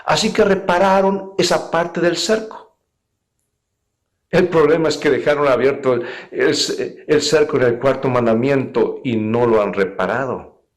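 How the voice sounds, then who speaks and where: A man's voice: medium pitch, measured pace. A man preaching a sermon as a pastor, speaking earnestly and emphatically and addressing his listeners directly. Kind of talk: sermon with illustrative anecdotes.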